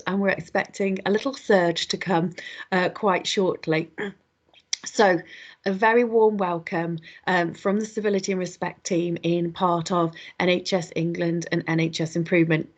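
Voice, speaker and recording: A woman with a slightly croaky voice, hosting an online webinar and welcoming attendees in a chatty way, with lots of ums and quick self-corrections. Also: mid-range voice. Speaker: webinar host. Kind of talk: welcoming an online audience, housekeeping.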